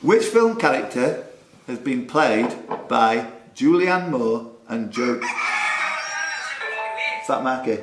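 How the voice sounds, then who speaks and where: A man's voice: mid-range voice, expressive, slow, long pauses, loud music behind the voice. A man hosting a live pub quiz, with jokey, punning asides. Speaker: quiz master. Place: social club room. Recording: roomy club hall with some noise.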